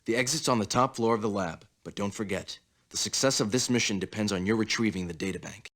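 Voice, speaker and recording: An actor playing a gruff, sardonic military commander giving mission briefings in a video game cutscene. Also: male voice, fairly low pitch, fast, a bit bright. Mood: wry, stern. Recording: music behind the voice. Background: music.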